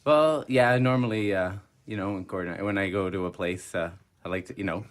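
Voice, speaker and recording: A man's voice: deep voice, a little fast. A man chatting casually as a radio guest, in a tentative, rambling way.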